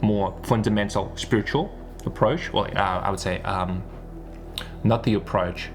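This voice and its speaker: Low-pitched man explaining ideas casually. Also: a little slow, creaky, faint music behind. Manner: emphatic and repetitive, often seeking agreement with tag questions. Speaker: YouTube business and spirituality coach.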